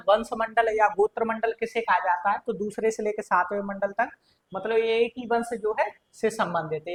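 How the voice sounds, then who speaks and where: Female Indian-accented teacher, mid-pitched, didactic and repetitive, walking students through quiz questions and supplying each answer. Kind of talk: exam-prep lesson going through quiz questions.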